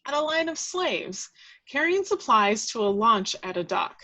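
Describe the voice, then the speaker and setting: A woman's voice: fairly high pitch, very animated, measured pace, bright, forceful tone, lo-fi sound. A woman reading scripted action descriptions aloud at a table read, now and then stumbling and correcting herself.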